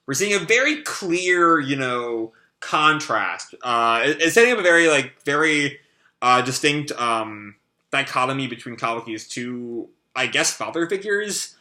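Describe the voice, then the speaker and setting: Hoarse, chatty man reading comics aloud. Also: mid-range voice, animated, a little slow, pushed and bright. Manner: chatty, many fillers. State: hoarse, dry mouth. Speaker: comic reaction host. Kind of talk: live manga reading and commentary.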